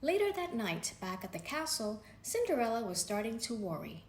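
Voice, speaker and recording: Woman narrator, lively pitch, bedtime storybook read in an echoey room with faint noise. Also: moderate pace. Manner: gentle, expressive reading with voices for characters. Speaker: storybook reader.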